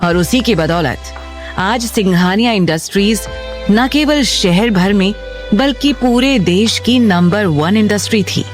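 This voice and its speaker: A female storyteller delivers scripted audio drama narration. Her voice is mid-pitched, with soft music running underneath. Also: unhurried.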